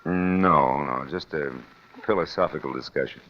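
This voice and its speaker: An actor playing a weary, wry, tough private detective in 1940s radio noir style, with dry, clipped delivery. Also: male voice, rumbling low, moderate pace, slight background noise. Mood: guarded, dry. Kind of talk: 1940s radio noir acting and first-person narration. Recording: dull old radio recording.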